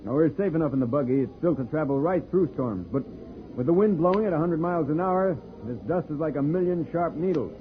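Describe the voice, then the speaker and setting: Man playing a calm space hero, old tinny radio. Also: on the high side, quick pace, lightly acted. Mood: steady, cautioning. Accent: American. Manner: reassuring, explanatory, clearly projected. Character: Planet Man Dan, calm heroic space adventurer and pilot. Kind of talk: 1950s radio serial acting. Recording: scratchy, tinny old-time radio recording.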